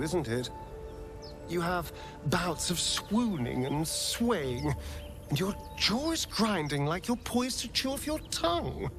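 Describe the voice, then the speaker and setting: An actor voicing a sly, theatrical dandy in a video game, teasing and drawn-out, with mocking concern. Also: male voice, a little high.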